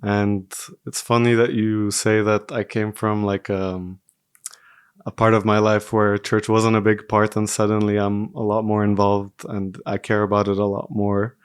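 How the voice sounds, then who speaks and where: A man's voice: lowish voice, monotone, unhurried, smooth, no echo, studio quality. A man in his twenties from Singapore, speaking casually and conversationally as a podcast guest. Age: 20s. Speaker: podcast guest, medical student.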